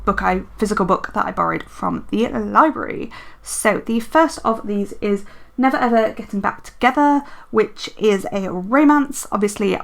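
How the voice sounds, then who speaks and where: A woman chatting casually while she decorates a planner and talks about books, rambling with lots of fillers and self-corrections, her voice a little croaky from a sore throat. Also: a little high, fairly bright tone. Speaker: planner and book vlogger.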